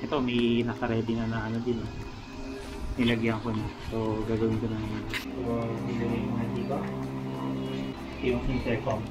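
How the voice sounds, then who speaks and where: A Filipino man speaks in a mid-range voice, chatting informally to his viewers as he works with his hands. He thinks aloud in short, broken phrases, like a casual tutorial. Loud music plays behind him throughout. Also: medium pitch, completely flat delivery, normal speed, pauses often, poor audio. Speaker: motovlogger showing a helmet modification.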